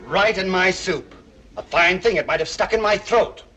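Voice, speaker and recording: A man's voice: high-pitched, moderate pace, pushed and bright. An actor playing an odd, theatrically emphatic man in London, in a 1940s film. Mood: complaining. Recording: old film soundtrack with music behind.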